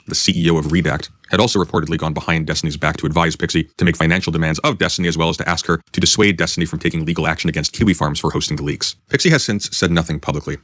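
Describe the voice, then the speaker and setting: A man reading scripted video-essay narration in formal, measured wording. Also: very deep voice, fairly bright tone.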